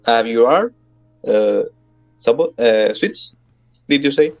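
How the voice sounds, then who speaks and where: A man chats haltingly over voice chat, searching for his words and now and then clearing his throat. His audio comes through dull and muffled, with faint music behind. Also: mid-range voice, animated. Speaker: fellow player. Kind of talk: casual multiplayer voice chat.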